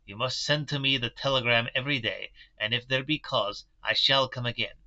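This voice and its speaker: A kindly, grave old professor with a thick German-style accent, as a man puts him on, choosing his words with quaint, broken formality. The voice comes through very bright and sharp. The recording itself sounds dull and lo-fi. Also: medium pitch, steady tone. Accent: put-on German-style accent. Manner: halting, broken formal phrasing.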